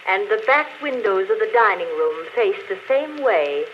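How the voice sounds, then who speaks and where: Actress as dying murderess, intimate measured confession, remorseful, vintage radio suspense, muffled, hissy recording. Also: female voice, mid-range voice, very animated, close to an everyday voice. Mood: confiding, remorseful. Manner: intimate, measured confession, addressing a loved one. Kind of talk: old-time radio suspense acting. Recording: dull, noisy, crackling vintage radio recording.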